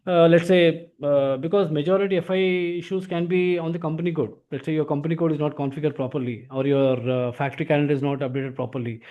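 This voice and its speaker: A man's voice: fairly high pitch, steady tone, quick pace, few pauses, narrow, tinny audio. A man with an Indian accent giving an informal explanatory lesson as an instructor, often tagging sentences with filler words. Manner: repeats filler tags like "actually".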